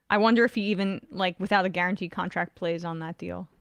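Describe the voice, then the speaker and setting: A woman hosting a casual sports podcast, her voice a little raspy from not talking all weekend, opinionated and conversational. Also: lowish voice, steady tone, fairly quick, no room sound, muffled recording. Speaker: podcast host.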